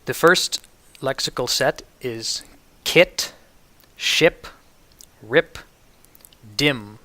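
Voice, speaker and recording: Man, New York City accent, mid-pitched, bright tone, deliberate enunciation, instructional delivery. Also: no echo. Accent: New York City American. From New York City, United States. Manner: precise, deliberate enunciation of example words. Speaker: presenter demonstrating pronunciation. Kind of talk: instructional demonstration with word lists.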